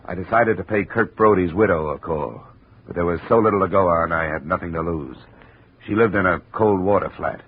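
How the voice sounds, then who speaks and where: An actor playing a hard-boiled investigator who narrates and talks in a dry, wry way in a 1950s radio drama. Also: male voice, sits low, brisk, slightly rough. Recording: dull old radio broadcast with hiss.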